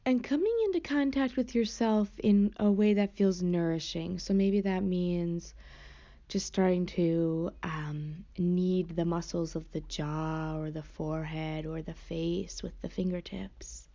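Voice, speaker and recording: Woman, guided meditation, gentle slow delivery, mellow tone, dull lo-fi sound. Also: lowish voice, steady tone. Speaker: meditation teacher.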